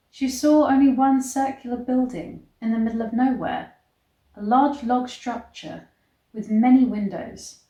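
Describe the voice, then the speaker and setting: Slow-paced woman, wry, in an echoey room. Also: fairly high pitch, warm tone. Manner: wry, sarcastic, sweary. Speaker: host reading a book aloud and reacting. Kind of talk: read-aloud storytelling with casual vlog commentary.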